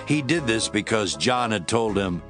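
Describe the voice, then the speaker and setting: A man narrating scripture from a script in a measured storytelling manner. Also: on the low side, lively intonation, over music.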